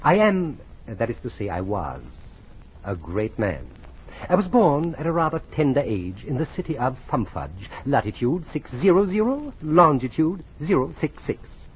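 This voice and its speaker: An actor playing a vain, fussy self-styled great man who tells his own life story in halting, theatrical 1940s radio comedy style. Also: mid-range voice, very animated, breathy edge. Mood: pompous, hesitant. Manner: pompous, halting, theatrical delivery. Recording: muffled old 1940s radio recording.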